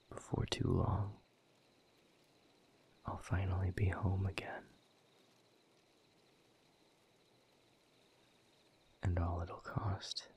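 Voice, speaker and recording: A man playing a weary, bitter exiled demon in an audio drama, speaking in a gravelly, breathy half-whisper with a slow, sardonic delivery. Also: sits low, darkish tone. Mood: wistful sorrow. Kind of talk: dramatic audio roleplay monologue to the listener.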